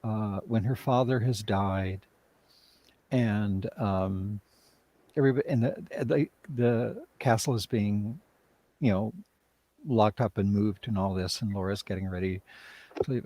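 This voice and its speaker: A man talking casually on a livestream video call as a writer and director, telling things in a hesitant, halting way. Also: on the low side, a little mellow, dry recording. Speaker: writer and director, interview guest.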